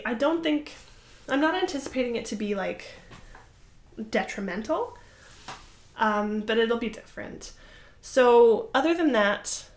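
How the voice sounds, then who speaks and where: A young woman hosting a crafting podcast, chatting casually to the camera in a rambling, apologetic way with lots of fillers. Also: fairly high pitch. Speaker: podcast host. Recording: roomy echoey room.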